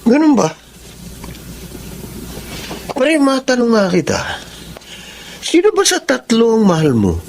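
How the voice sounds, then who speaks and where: High-voiced, chummy male buddy. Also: slow, vocal fry, long pauses, a little hiss or hum, heavily acted. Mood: teasing, curious. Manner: slangy, animated. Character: chummy, teasing, curious buddy of a womanizer. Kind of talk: Filipino radio drama acting.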